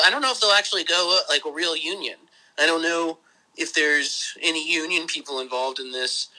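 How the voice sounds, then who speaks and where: A man, hosting a podcast, talking casually over a phone call in a rambling, excited way with some swearing. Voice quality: high voice. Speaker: podcast host.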